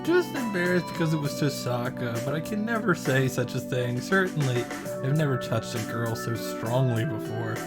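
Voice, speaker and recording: A man's voice: mid-range voice, expressive, moderate pace. A man hosting a game reaction, reading visual novel text aloud and adding informal, sweary commentary. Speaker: video game reaction host. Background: game music.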